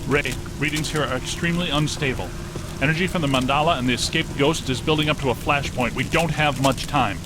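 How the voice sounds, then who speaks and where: An actor playing a brainy, precise scientist ghost hunter in a video game, formal and deadpan. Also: male voice, on the high side, brisk, heavy background noise, lightly acted. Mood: measured, grave.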